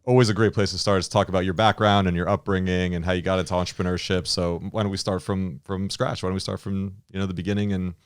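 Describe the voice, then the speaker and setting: A man's voice: low-pitched, level tone, fast, hardly any pauses, smooth, no room sound, clean studio sound. A man hosting his podcast in a casual interview, friendly and informal, calling his guest "brother.". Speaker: podcast host.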